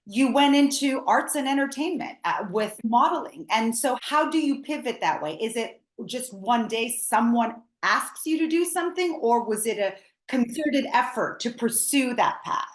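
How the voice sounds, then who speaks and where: A woman's voice: on the high side, lively intonation, moderate pace. A middle-aged woman from Canada, a native Ukrainian speaker, hosting an interview in a chatty way. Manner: chatty, ends points with "right?". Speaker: podcast host. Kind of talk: conversational interview. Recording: roomy echo.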